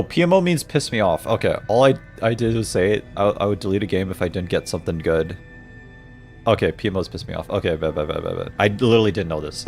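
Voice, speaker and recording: A man in his twenties streaming a game, joking with chat, reading messages aloud and laughing often. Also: fairly low pitch. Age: 20s. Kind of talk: casual livestream chat while playing a game.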